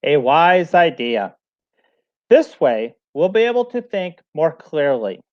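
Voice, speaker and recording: A man playing a poor, impulsive woodcutter husband in a storybook read-aloud, plain-spoken and expressive. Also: on the high side, takes it slowly. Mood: agreeable. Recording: dull lo-fi recording.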